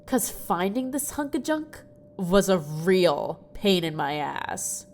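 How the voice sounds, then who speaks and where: Grouchy school nurse acted by a woman, low-pitched, drawn-out and impatient, audio drama. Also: sing-song, soft background music, exaggerated voice. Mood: threatening. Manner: grumbling, impatient.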